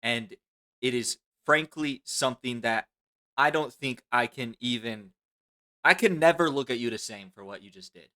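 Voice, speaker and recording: A man with a bright, forceful voice, talking casually on a stream. He teases and puts on mock indignation. His pitch is mid-range for a man. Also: normal speed.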